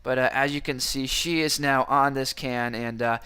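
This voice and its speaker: Upbeat man teaching software, slightly hoarse. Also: mid-range voice, fairly even intonation, moderate pace. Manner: enthusiastic, talks through each click. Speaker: software tutorial instructor. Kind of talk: screen-recorded software tutorial.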